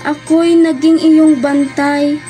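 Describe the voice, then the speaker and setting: A woman voices a devoted ghost child in a radio play. The high, soft-toned voice stays even and solemn, polite and gentle. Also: slow, faint music behind. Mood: solemn farewell. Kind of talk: amateur radio drama acting.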